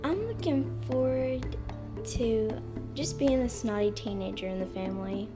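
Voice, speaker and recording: A woman's voice: a little high, a little slow. A woman who acts in community theater, chatting casually in an interview. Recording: loud music over the voice.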